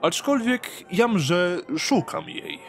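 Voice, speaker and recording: A man's voice: high voice, big pitch swings, slow pace, vocal fry, fairly bright tone, soft background music, full character voice. Man playing a sly thief, wildly swooping intonation. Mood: falsely courteous. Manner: theatrical, archaic courtesy. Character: sly, smooth-talking male street thief, mock-courteous. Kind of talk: audio drama acting.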